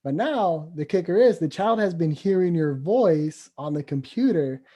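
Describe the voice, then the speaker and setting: Mellow guy, warm dark tone, encouraging, asks lots of follow-ups. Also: fairly high pitch, animated, normal speed. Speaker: home visitor. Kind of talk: casual podcast discussion.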